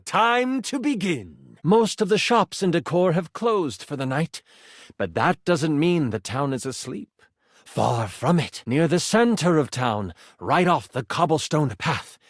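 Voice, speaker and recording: An actor playing an excitable, earnest living twenty-sided die who runs fantasy tabletop games with playful, theatrical storytelling. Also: male voice, a little high, no room sound, clean studio sound. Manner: expressive, playful, shifting into grand storyteller phrasing. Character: excitable, earnest twenty-sided die come to life, eager game master. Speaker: tabletop game master. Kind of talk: theatrical fantasy game narration.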